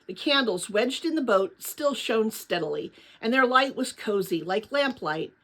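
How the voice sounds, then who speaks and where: A woman narrating a children's novel aloud in an expressive storybook style. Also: fairly high pitch, a little slow.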